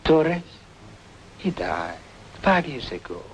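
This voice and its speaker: A helpful, musing small-town shopkeeper in an old radio drama. His medium-pitched voice is very animated, with faint noise behind it. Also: takes it slowly, rough recording. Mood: reminiscing. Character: helpful, chatty small-town art shop man. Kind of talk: old-time radio noir drama acting.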